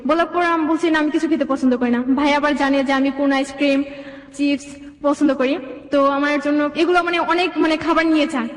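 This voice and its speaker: A woman's voice: sits high, steady tone, fast talker. A young woman telling her life story conversationally in long run-on detail, quoting dialogue, in a reverberant recording. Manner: run-on, detailed retelling with reported speech. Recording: echoey, reverberant sound.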